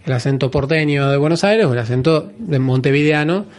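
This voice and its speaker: A man's voice: a little high, brisk. A man from Argentina with an Argentine accent, a guest who explains things in a casual conversation with frequent hesitant restarts.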